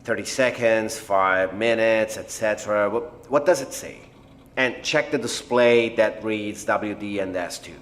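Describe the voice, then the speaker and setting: A man playing a reflective, apologetic scientist in an audio drama, explaining things in a measured, hesitant way. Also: fairly low pitch, a bit bright, a little hiss or hum. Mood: focused. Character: blind male scientist, reflective, apologetic, technical project doctor.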